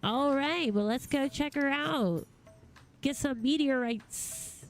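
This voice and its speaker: A woman's voice: high-pitched, slow pace, faint music behind. A woman livestreaming a game with casual, playful, goofy commentary.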